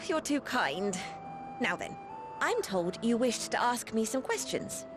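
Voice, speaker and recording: An excitable young researcher, with a bright, fairly high voice that leaps up and down as she talks. She is eager and courteous, in old-fashioned phrasing, over an orchestral game score. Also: measured pace, over music. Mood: apologetic. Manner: eager, breathless and animated, archaic phrasing. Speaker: game cutscene character. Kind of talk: voiced game cutscene dialogue.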